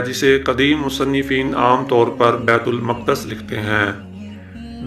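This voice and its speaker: A man with a mid-pitched voice narrates religious history from a script. His delivery is formal and measured, with literary phrasing. Music plays clearly behind him. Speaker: narrator and presenter of a religious history video series. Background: background music.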